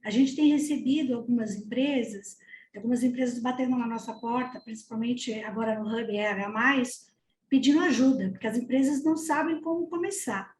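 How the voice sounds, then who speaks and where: A woman's voice: a little high, normal speed, a little mellow, a little hiss or hum. A woman speaking earnestly on a panel, asking rhetorical questions. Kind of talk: webinar panel discussion. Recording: echoey room.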